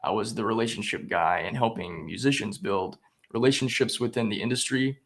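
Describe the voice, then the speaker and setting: A man, a guest on a talk show, telling a story casually in an animated way, quoting dialogue as he goes. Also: on the low side, fairly quick. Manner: animated retelling with quoted dialogue. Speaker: guest, spiritual growth coach.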